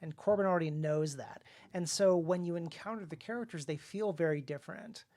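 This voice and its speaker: A man's voice: a little high, brisk, a little mellow. A man co-hosting a podcast and talking casually about his writing, often repeating words as he goes. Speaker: podcast co-host and author.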